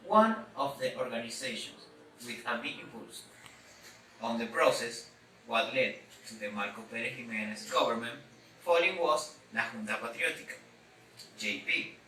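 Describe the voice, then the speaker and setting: Bright-voiced man reading a presentation, echoey. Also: mid-range voice. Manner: halting, careful reading in choppy phrases. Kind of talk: scripted history presentation read aloud. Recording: echoey, distant mic, background noise.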